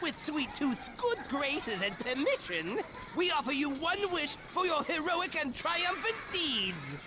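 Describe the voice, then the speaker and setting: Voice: high voice, sing-song, unhurried. A performer voicing a gleeful, showy game announcer who grants wishes in a grandiose, exclamatory way. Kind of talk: theatrical game cutscene acting.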